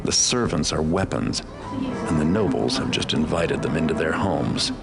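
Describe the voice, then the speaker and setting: An actor playing a cynical master thief in a video game, gruff and world-weary, with dry sarcasm. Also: male voice, very deep voice, moderate pace. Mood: wry, guarded. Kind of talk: video game cutscene acting and narration. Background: game soundtrack music.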